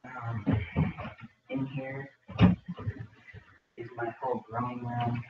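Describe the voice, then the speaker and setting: A man's voice: medium pitch, monotone, takes it slowly, muffled recording, background noise. A young man hosting a casual livestream from his home grow room, rambling with lots of ums and likes. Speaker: livestream host.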